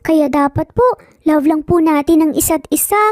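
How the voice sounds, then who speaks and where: A woman's voice: high-pitched, little pitch movement, moderate pace, mellow, no room sound, clean studio sound, pitched above the performer's own voice, close to an everyday voice. A woman voicing a sweet, earnest small child with polite, pleading manners in a dramatised story. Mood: worried, pleading.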